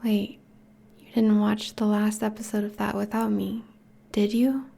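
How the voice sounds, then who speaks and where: A woman playing a teasing, affectionate vampire girlfriend in an intimate ASMR roleplay, speaking softly in a breathy, whispery voice. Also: medium pitch, little pitch movement, measured pace. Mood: affectionate, playful. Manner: soft, teasing, languid.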